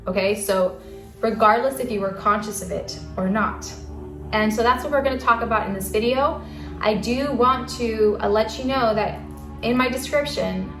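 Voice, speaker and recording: A soft-spoken woman giving a casual spiritual talk to camera in a calm, earnest way. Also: medium pitch. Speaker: spiritual channel host. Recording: roomy echo, faint music.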